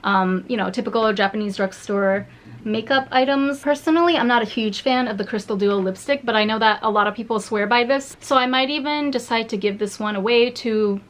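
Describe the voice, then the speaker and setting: A woman vlogging a casual beauty haul from home, chatty and rambling with many fillers and restarts, her voice slightly hoarse. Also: on the high side, brisk.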